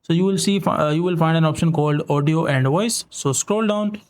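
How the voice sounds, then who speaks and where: A man narrating a step-by-step software tutorial in an informal, repetitive instructional way. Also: on the high side, moderate pace. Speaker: tutorial host.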